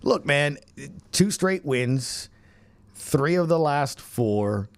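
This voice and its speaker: A man's voice: on the high side, animated, very slow, no room sound. A man hosting an upbeat sports podcast, talking conversationally in punchy phrases and tossing out questions. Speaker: podcast host.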